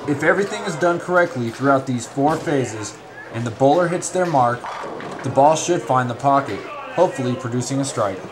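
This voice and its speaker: A man reading a scripted instructional narration in a measured, formal, step-by-step way. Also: mid-range voice, lively intonation, a crowd in the background.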